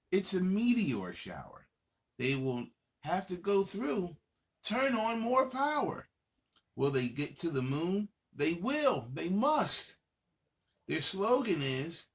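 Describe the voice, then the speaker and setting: Male kids' storyteller, higher-pitched and very animated, playful and dramatic delivery. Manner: playful, dramatic, pauses to ask young listeners questions. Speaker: children's storybook reader and host. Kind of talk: animated read-aloud storytelling for children.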